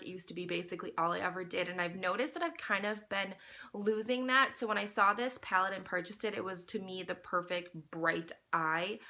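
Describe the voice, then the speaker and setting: Bright-voiced woman with a nasal cold. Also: mid-range voice. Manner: chatty, addresses viewers as you guys. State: congested with a cold, slightly nasal. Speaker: beauty vlogger. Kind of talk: casual makeup tutorial chat.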